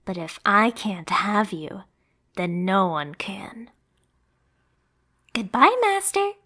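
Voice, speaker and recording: A woman's voice: mid-range voice, big pitch swings, slow pace, pushed and bright, soft background music, exaggerated voice. A woman playing a lonely, jealous, unhinged pet catgirl in an emotional anime ASMR roleplay, dramatic and sometimes whispery. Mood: coldly menacing.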